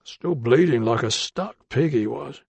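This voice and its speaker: A man's voice: medium pitch, lively intonation, unhurried, vocal fry, darkish tone, husky, no echo, highs cut off, heavily acted. A man doing a thin, bleating shopkeeper. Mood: wheedling. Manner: wheedling and deferential, keeps saying sir. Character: timid, grasping male shopkeeper, working class.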